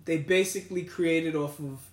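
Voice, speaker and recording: A man's voice: on the high side, steady tone, moderate pace, distant mic. A man, hosting a casual podcast chat in laid-back street slang. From New York. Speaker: podcast host. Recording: echoey room, off mic.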